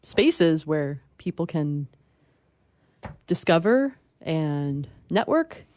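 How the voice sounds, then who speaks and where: A woman speaking as a bookseller guest in a casual radio interview, informal and gently enthusiastic. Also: low voice, sing-song, extremely slow, no room sound, clean studio sound. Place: radio studio.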